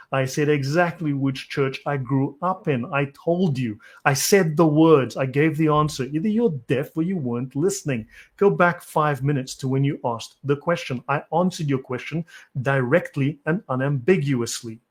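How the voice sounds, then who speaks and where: A man's voice: a little high, normal speed. Blunt South African man lecturing. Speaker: channel host. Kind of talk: live-stream commentary answering chat.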